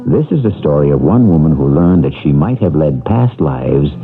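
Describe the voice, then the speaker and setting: Deep theatrical radio host. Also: male voice, big pitch swings, music in the background. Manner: measured, theatrical delivery. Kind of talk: scripted radio introduction. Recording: tinny old radio broadcast.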